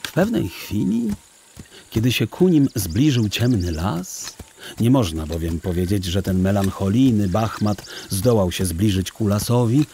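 Male narrator, low voice, unhurried, measured literary storytelling, children's audio drama. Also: lively intonation, dark tone, slight background noise.

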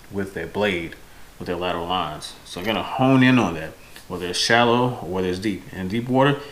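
A man's voice: on the low side, echoey room. A man in his thirties giving casual, unscripted fishing-vlog style tips to camera, correcting himself as he goes, indoors at home. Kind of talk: casual instructional product talk to camera. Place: indoors, home room with windows. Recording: noisy home camera audio.

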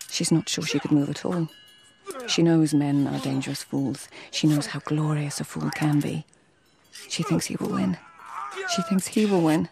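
An actress playing a proud, independent court lady in a radio drama, cool, restrained and often hushed. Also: lowish voice, creaky. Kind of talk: radio drama dialogue and literary narration.

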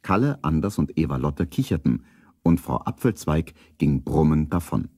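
A man narrating a children's radio play as a calm storyteller. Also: rumbling low, normal speed, breathy edge, no room sound, studio quality, exaggerated voice. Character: calm adult male storyteller.

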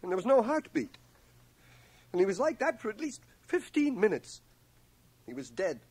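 A man's voice: sits high, wildly swooping pitch, normal speed, darkish tone, husky, heavily acted. A man acting as an earnest, stubborn fellow from a small town, the lead and narrator of a radio drama taped on cassette. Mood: shaken. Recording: 1980 radio drama from home cassette transfer.

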